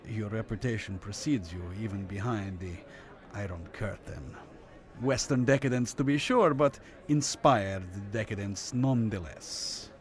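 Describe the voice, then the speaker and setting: An actor playing a courtly but menacing Lithuanian communist official in a radio drama, formal, measured and condescending, with a mid-pitched voice. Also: male voice. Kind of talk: Cold War radio drama acting.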